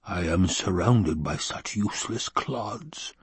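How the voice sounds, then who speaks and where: A man acts out a scheming, tyrannical baron in a dramatised audiobook. The voice rumbles, husky and drawn-out, with imperious contempt in a close, dry recording. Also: no room sound, exaggerated voice. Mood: scornful musing. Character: menacing, scheming, obese male baron and tyrant.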